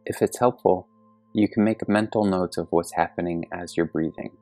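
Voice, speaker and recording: A man leading a guided meditation as an instructor, speaking gently and calmly with measured pauses. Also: low voice, dry recording. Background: soft meditation music with singing bowl tones.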